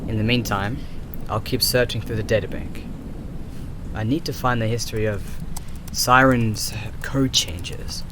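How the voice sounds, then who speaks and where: A man acting a nervous, secretive AI developer in an audio roleplay, halting and stammering as he mutters. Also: on the low side, noisy background, close to an everyday voice. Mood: suspicious, musing. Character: nervous, secretive male AI developer, flustered and suspicious.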